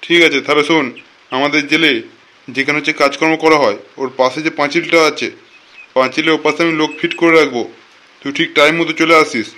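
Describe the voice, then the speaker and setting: A man's voice: fairly high pitch, steady tone, fast talker, sharp tone. A man voicing a blunt, streetwise big-brother convict in a comic cartoon. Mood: matter-of-fact. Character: gruff, streetwise older-brother convict, teasing yet sentimental.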